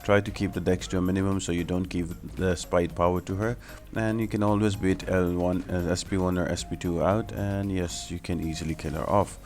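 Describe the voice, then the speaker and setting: A man's voice: low-pitched, little pitch movement, a little fast, darkish tone. A man giving a casual game walkthrough, explaining things directly to viewers as the host of a guide.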